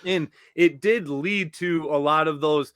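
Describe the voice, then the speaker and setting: A man's voice: a little high, a little slow, a bit bright, clear, resonant tone, dry recording. A man co-hosting a podcast in casual fan discussion, informal and opinionated, on a close microphone. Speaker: podcast host.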